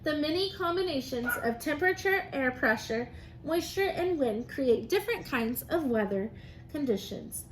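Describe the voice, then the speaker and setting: A woman's voice: high-pitched, lively intonation, moderate pace. A woman teacher reading aloud and explaining science to young children in simple, encouraging phrases. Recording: noticeable background noise.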